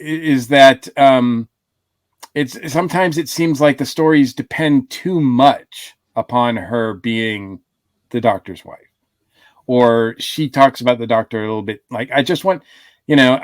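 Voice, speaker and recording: A man's voice: medium pitch, unhurried, no echo. A man co-hosting a casual podcast discussion, thinking aloud with many fillers. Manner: thoughtful, hedging with fillers. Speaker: podcast co-host.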